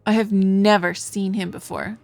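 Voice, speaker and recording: A woman's voice: mid-range voice, fairly even intonation, moderate pace, gravelly, a little mellow, close to an everyday voice. Woman acting a frantic, defiant young noble wife. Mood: alarmed, defiant. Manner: emphatic, pleading, indignant delivery. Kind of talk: dramatic visual novel voice acting. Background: music.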